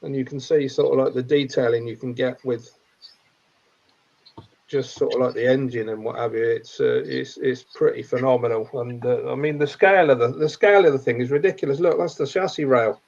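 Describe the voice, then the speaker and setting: A man's voice: medium pitch, fairly even intonation, brisk, creaky, a little mellow, lo-fi sound. A man in a video-call chat describing his model building, enthusiastic and rambling with lots of fillers. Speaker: scale model builder, club member.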